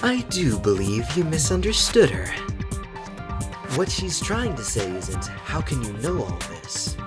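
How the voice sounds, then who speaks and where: A woman playing a cold, haughty teenage boy in an anime game fandub, measured, condescending and disdainful. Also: extremely low pitch, big pitch swings, exaggerated voice. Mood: cool, explanatory. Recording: loud music behind. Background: music.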